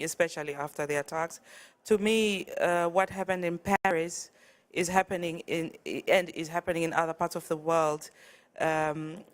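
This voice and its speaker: A tired woman from Zimbabwe, an artist and curator, gives an earnest conference talk with hesitant restarts. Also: deep voice. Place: conference hall.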